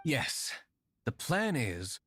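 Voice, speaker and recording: An actor playing a stoic, aloof young gentleman in a video game, speaking in a formal, reserved manner. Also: medium pitch, huge pitch swings, extremely slow, breathy edge, no room sound, studio quality, exaggerated voice. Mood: resolute. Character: stoic, aloof young gentleman tavern master and lone vigilante. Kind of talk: video game character acting.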